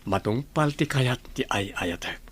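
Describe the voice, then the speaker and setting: A man playing a doting, excitable young husband in a radio drama. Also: mid-range voice, slow, breathy edge, full character voice. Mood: tender.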